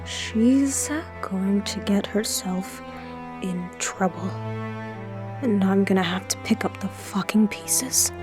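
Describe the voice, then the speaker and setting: A woman's voice: mid-range voice, takes it slowly, music in the background, lightly acted. A woman playing a guarded, protective police chief and weary, stubborn older sister in an audio drama. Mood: weary, muttering.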